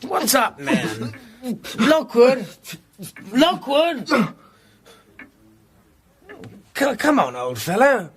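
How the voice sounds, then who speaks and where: Husky, blustering English baronet. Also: male voice, very high-pitched, wildly swooping pitch, slow, heavily acted. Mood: alarmed, barking. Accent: upper-class English. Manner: gruff, indignant, swinging emphatically. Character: irascible, blustering upper-class English baronet. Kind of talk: British period radio drama acting.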